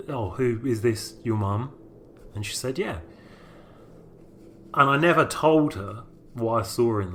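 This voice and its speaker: Male storyteller, London accent, informal and conversational, mid-pitched voice, light music bed underneath. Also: fairly bright tone. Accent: London English. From North London, England. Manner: conversational, uses colloquial British phrasing. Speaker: storyteller, podcast producer. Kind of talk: informal first-person storytelling.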